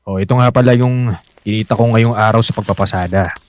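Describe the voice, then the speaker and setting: An actor playing a weary, self-blaming middle-aged husband, a poor driver, apologetic and earnest, with a Manila Filipino accent in a radio drama. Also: male voice, fairly low pitch, fairly even intonation, a little fast, a little mellow, lightly acted. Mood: tired, apologetic.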